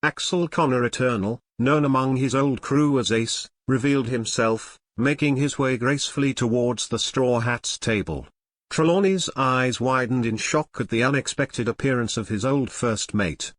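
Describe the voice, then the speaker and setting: A man giving scripted storybook narration of an audio drama in a measured, dramatic way. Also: medium pitch, no room sound.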